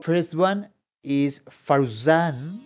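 A man's voice: a little high, expressive, drawn-out words. A young man giving informal, exclamatory reaction commentary as a video host in a home room. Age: young adult. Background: music.

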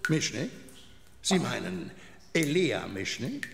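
A man's voice: mid-range voice, very animated, takes it slowly, gravelly, over music, heavily acted. An actor playing a feisty, indignant old man in a children's audio drama, stubborn and protective. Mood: sly, evasive. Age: older. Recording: echoey hall with noisy background.

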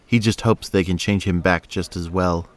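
Male narrator, low register, reading scripted scenes for an audio drama. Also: steady tone, moderate pace.

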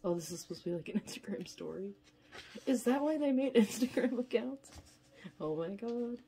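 A woman's voice: fairly high pitch, lively intonation, normal speed, mellow, a little hiss or hum. A woman with a stuffy nose from a cold, chatting casually and a bit tiredly while unboxing at a table. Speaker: unboxing vlogger. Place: indoors at a table, filming hands from above.

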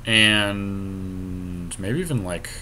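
A man's voice: low voice, very slow, bright, forceful tone, rough recording. A man co-hosting a podcast, thinking aloud through a card game in casual commentary with some mild swearing. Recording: clear background noise.

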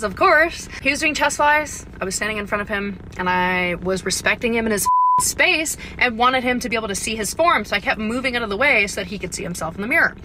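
A woman's voice: on the high side, pushed and bright. A young woman from Canada telling an indignant, sweary first-person story into a phone inside a car. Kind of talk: agitated first-person social media story. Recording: faintly noisy phone clip.

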